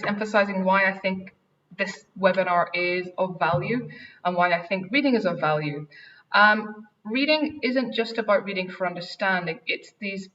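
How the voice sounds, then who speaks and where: A woman's voice: mid-range voice, a bit bright. Irish woman presenting a school webinar. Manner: earnest, many ums and restarts. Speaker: head of English faculty. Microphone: video call. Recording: distant, dull online call audio.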